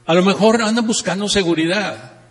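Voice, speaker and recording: A man's voice: high voice, animated, normal speed. A man with a northern Mexican accent giving a motivational seminar talk, posing rhetorical questions and repeating key phrases with emphasis. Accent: northern Mexican Spanish. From Monterrey, Mexico. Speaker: seminar speaker, network marketing trainer. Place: seminar room, speaking to an audience. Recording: echoey hall with long reverb.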